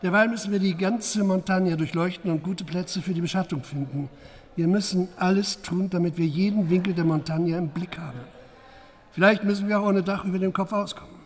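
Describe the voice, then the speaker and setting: A man's voice: sits high, brisk, a little hiss or hum, natural voice. An actor playing a determined police squad leader briefing his men with urgent, commanding delivery in a radio crime drama. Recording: long echoing hall reverb.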